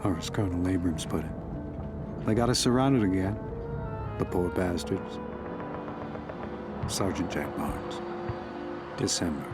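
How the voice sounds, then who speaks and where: Deep-voiced, solemn American army sergeant. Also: male voice. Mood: weary, resolute. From North Dakota, United States. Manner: solemn, measured, grave. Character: weary, resolute male American WWII paratrooper sergeant. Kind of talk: scripted wartime journal narration. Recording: loud music bed under narration. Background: prominent music.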